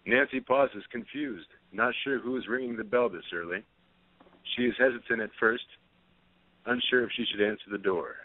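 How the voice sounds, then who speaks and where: A man's voice: mid-range voice, normal speed, a little hiss or hum. A man reading stage directions as scripted narration for a play, with narrow phone-like sound.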